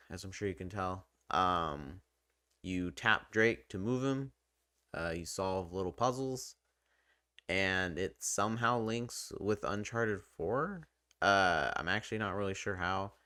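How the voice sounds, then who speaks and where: Low-voiced casual man, crisp and bright. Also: dry recording, studio quality. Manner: informal, hesitant, self-deprecating. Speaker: video game reviewer and channel host. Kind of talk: casual unscripted commentary.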